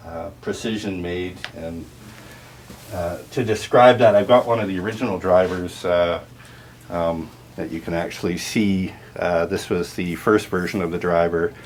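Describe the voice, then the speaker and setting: A man giving an informal, technical product tour in a halting, explanatory way. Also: deep voice, steady tone, slight background noise. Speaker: audio dealer and turntable restorer giving a product tour.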